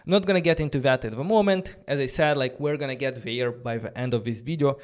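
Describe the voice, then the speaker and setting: A man whose first language is Romanian runs a live coding tutorial in a loose, chatty way. He thinks aloud, fills pauses with "uh" and "like", and breaks off now and then to answer the chat. His voice sits at a mid pitch. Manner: casual, thinking aloud with many fillers and restarts; reads and answers viewer chat questions. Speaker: coding instructor.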